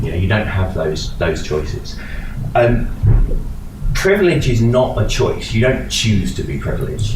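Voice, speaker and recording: Low-voiced man speaking to a live audience. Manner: hesitant, with many fillers and restarts. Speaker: meetup speaker. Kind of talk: conversational talk to a live audience. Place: meeting room with an audience. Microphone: distant room mic. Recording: echoey room from far off, noisy.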